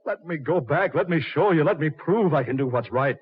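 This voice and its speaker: A man's voice: on the high side, lively intonation, fairly quick, husky. A man playing a desperate, pleading dead sinner in 1940s radio horror, agitated and insistent. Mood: frantic pleading. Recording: muffled 1940s radio recording.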